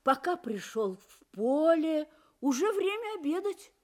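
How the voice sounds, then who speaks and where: Female fairy-tale narrator: expressive, highly melodic delivery at a leisurely pace. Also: a little slow.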